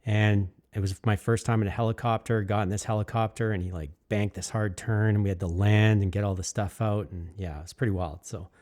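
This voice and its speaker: A man's voice: lowish voice, fairly even intonation, a little fast, hardly any pauses, a little mellow. A man narrating his own work in an easygoing, rambling way, candid and enthusiastic, with many fillers and restarts. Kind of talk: casual reflective voice-over.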